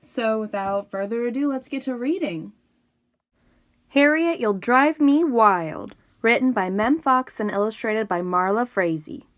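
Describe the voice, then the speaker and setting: A woman's voice: on the high side, lively intonation, unhurried, no echo. A woman reading a picture book aloud for children, narrating and speaking to her young listeners directly. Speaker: storybook reader.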